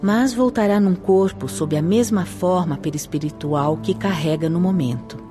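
A woman's voice: on the low side, moderate pace, music in the background, close to an everyday voice. A woman acting in a radio drama as a composed, firm spirit instructor, earnest and formal, with careful didactic diction. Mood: earnest, explaining.

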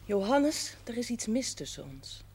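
An actress with a Dutch accent playing a wounded, insistent young wife and new mother in a period television drama, husky and earnest. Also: a little high, very animated, a little slow, a little mellow, full character voice. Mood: pleading, hurt. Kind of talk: naturalistic television drama acting.

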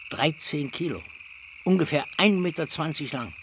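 An actor playing a fussy, methodical retired explosives expert in a German crime radio drama, speaking calmly and precisely. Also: male voice, a little high, moderate pace, slight background noise. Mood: calm, informative.

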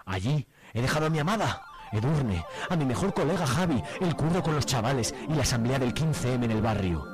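A man's voice: mid-range voice, animated, a little fast, close to an everyday voice. A man with an accent from Spain playing a cheeky, proud young activist in a comic radio soap, exclaiming in a theatrical, colloquial way. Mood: proud, resolute. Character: excitable young male left-wing activist, cheeky and proud.

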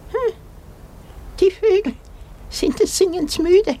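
An actress playing a weary, stubborn old wife in a radio play, with a musing, plainspoken and contrary manner. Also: female voice, very high-pitched, slow, mellow. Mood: desolate, musing. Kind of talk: theatrical German radio play acting.

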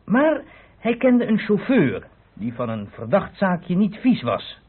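A man's voice: high voice, wildly swooping pitch, slow, husky, exaggerated voice. A man acting a police inspector in a radio play, explaining patiently like a storyteller. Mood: explanatory. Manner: explanatory storyteller, chuckling asides. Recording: muffled phone-like old radio recording.

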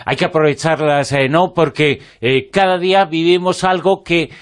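A man hosting a conversational radio interview, speaking effusively in short phrases broken by small pauses. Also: on the high side, steady tone. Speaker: radio show host.

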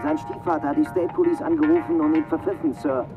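Male reader as brisk, dutiful deputy making a formal report, higher voice, prominent music bed. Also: steady tone, lo-fi sound, close to an everyday voice. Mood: dutiful, urgent. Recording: music under the voice.

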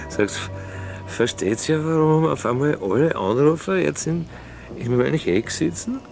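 Slow, creaky-voiced Austrian radio jockey. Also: male voice, a little high, lively intonation, exaggerated voice. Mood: tired, bemused. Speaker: radio talk show host. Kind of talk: radio drama acting, casual on-air chat. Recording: loud music behind the voice.

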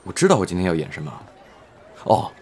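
A man's voice: deep voice, sing-song, fast, a crowd in the background. A man acting a polite, bewildered, modest actor in an audio drama, in a natural manner. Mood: puzzled.

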